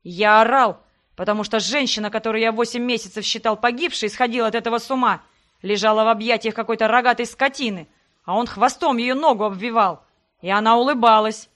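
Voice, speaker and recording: A woman's voice: on the high side, fairly even intonation, brisk, a bit bright, lightly acted. A woman voicing a jealous, lovesick male necromancer who raises his voice in reproach. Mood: heated.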